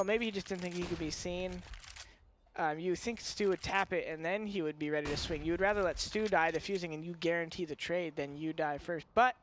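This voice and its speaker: High-voiced young man analyzing a match. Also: slight background noise. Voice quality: high-pitched, nasal when going low. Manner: analytical, chatty, second-guesses himself aloud. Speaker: color commentator, former pro player. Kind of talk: esports color commentary. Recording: game gunfire behind the commentary. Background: game sounds, gunfire.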